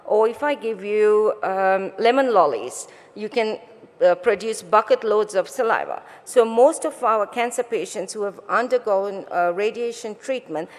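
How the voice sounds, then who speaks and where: A woman's voice: mid-range voice, unhurried. A middle-aged woman from Botswana, born in Sri Lanka, giving an explanatory conference talk as a research scientist. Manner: explanatory, asks rhetorical questions. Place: conference stage in a large hall. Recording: reverberant large hall.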